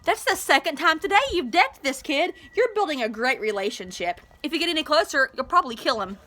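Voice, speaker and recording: A woman's voice: high voice, animated, fairly quick, bright, forceful tone, voice pushed up. A woman voicing a sneering, superior eighth-grade boy who mocks others, in a storybook read-aloud. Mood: mocking. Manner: sneering, superior put-down delivery.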